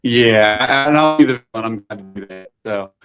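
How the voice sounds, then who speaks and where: A man in his forties, a podcast guest, talking in a halting way with many fillers and restarts. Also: on the low side, a bit bright, faint music behind. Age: 40s. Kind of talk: casual podcast chat.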